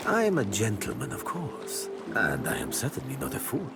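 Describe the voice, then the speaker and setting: An actor playing a suave, pompous gentleman pirate captain in a video game, speaking formally with flowery, calculating courtesy. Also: mid-range voice, wildly swooping pitch, normal speed, gravelly, very noisy. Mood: haughty. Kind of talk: video game character dialogue.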